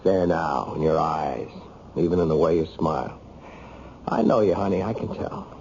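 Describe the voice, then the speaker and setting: A man's voice: very deep voice, normal speed, darkish tone. An actor playing a jailed, embittered but tender husband who swears he is innocent, earnest and plainspoken, in a radio drama. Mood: downcast, bitter. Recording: dull, noisy old radio recording.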